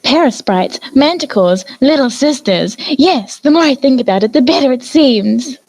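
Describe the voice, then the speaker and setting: A woman's voice: sits high, expressive, moderate pace, darkish tone, no echo. A woman voicing a refined, theatrical female unicorn pony with a posh, effusive manner. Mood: teasing.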